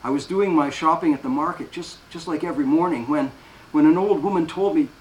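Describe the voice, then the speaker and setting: A man's voice: high-pitched, animated, moderate pace, voice pushed up. A man reading aloud and voicing a panicked, defiant young nun whose voice breaks with outrage, heard in a roomy space from a distant mic.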